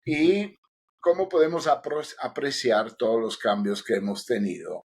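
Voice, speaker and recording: A man's voice: medium pitch, a little slow, a little mellow, breathy edge. A middle-aged man with an Italian accent, co-hosting a podcast in an easygoing, joking, rambling way. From Italy. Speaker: podcast co-host. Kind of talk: casual podcast chat.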